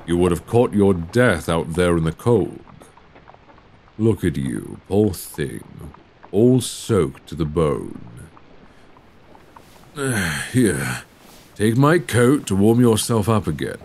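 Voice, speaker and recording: A man playing a suave, courteous Victorian gentleman vampire in an intimate ASMR roleplay, speaking in genteel, solicitous phrases close to a binaural microphone. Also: very animated, slow, long pauses, full character voice. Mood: pleasantly inviting, then tender concern. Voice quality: low, creaky voice.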